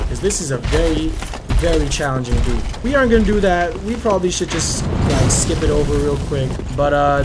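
A young man giving casual, excitable commentary while he plays a game, swearing a lot and yelling at it, going hoarse by the end. He talks into a headset mic in a small bedroom. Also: high voice, heavy background noise. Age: young adult. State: hoarse, losing his voice. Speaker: gaming YouTuber. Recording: game music loud behind the voice.

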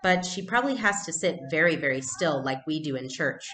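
A woman's voice: low-pitched, lively intonation, fairly quick, a bit bright. A woman voicing a small, doubtful, demanding little girl in a storybook. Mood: matter-of-fact. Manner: dubious, demanding.

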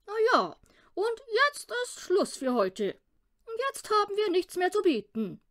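A woman doing a brash little show-off man, high-pitched. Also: sing-song, measured pace, fairly bright tone, full character voice. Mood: bossy showman. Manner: cocky, exclamatory. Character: brash, boastful, bossy, mischievous little man.

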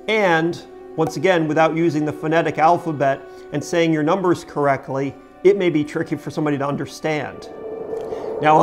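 Middle-aged American male vlogger, slightly high voice, emphatic explainer, music behind. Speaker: boating video host. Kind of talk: explanatory talk to camera. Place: home kitchen, indoors.

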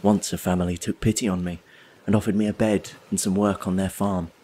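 Low, husky wistful loner. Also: male voice, flat delivery, fairly quick, dark tone. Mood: reflective. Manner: reflective, wry. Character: wistful, solitary male drifter telling his story. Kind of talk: dramatic monologue in an audio play.

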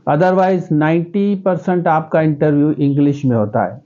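Earnest Sindhi-accented man with mellow tone. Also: fairly high pitch, moderate pace, highs cut off. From Pakistan. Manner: earnest, gestures with both hands. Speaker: educationist giving interview advice. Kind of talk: direct-to-camera advice vlog. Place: small indoor room.

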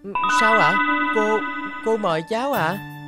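A woman voicing a timid, polite homeless orphan boy in an animated moral tale, speaking earnestly and a little hesitantly. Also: medium pitch, measured pace, natural voice. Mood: grateful. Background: music.

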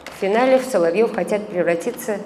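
Middle-aged woman lecturer at a lectern mic, measured academic reading, long hall reverb, faint hiss. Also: mid-range voice, mellow. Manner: measured reading with hesitant fillers, recites verse. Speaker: conference speaker, translator. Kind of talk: reading an academic paper aloud. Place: conference hall, indoors. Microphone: stand microphone at a lectern.

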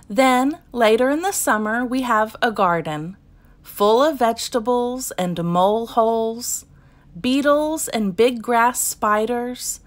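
A woman reading a children's picture book aloud as scripted narration, in measured, deliberate phrases with pauses. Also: on the high side. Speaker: storybook reader.

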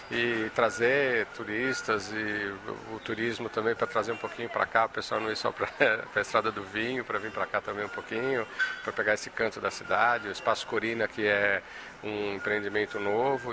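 Low-voiced Brazilian man, noisy restaurant. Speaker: restaurant owner being interviewed. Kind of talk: informal promotional interview. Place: large restaurant room. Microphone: handheld interview microphone.

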